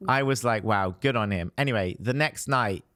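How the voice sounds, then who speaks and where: A hoarse young man, a showbiz reporter telling festival stories in a chatty way and laughing often. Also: fairly low pitch, moderate pace, a bit bright, no echo, studio quality. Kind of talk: casual podcast chat.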